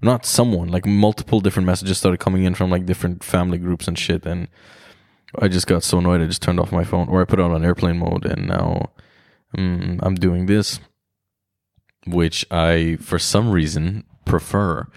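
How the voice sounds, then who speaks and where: Male solo podcaster with a very deep voice, casual and rambling, recorded dry in his room. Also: normal speed, gravelly, darkish tone, no echo. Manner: rambling, reflective, swears freely. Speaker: podcast host.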